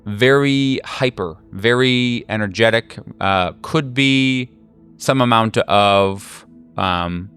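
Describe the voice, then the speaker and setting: A man with a fairly low, smooth voice narrates a tabletop horror game as game master. He improvises casually and haltingly, with slangy, joking turns, over faint background music. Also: fairly bright tone.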